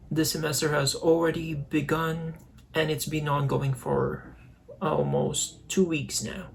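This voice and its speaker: A man's voice: a little high, gravelly, a little hiss or hum. A young man from the Philippines with a Filipino accent, talking casually to the camera as a vlogger. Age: young adult. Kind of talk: casual vlog talk to camera.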